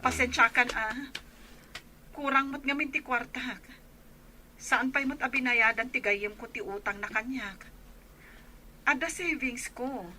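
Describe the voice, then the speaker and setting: High, bright anxious daughter played by a woman. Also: unhurried, long pauses, a little hiss or hum, low-quality recording. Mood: subdued, apologetic. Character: anxious adult elder daughter, dutiful and urgent. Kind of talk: audio drama acting.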